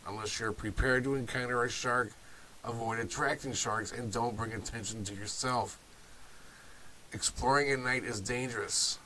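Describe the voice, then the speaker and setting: A man's voice: medium pitch, steady tone, moderate pace, pushed and bright, a little hiss or hum, rough recording. A man hosting a video talk series, sounding nasal from a cold, loose and offhand with asides. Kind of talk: informal talk to camera.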